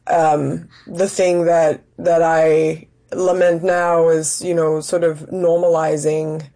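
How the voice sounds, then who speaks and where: Mellow-voiced woman actress being interviewed. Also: low-pitched, level tone. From Denmark. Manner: thoughtful, measured, searching for words. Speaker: actress and writer, interview guest. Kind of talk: interview answers.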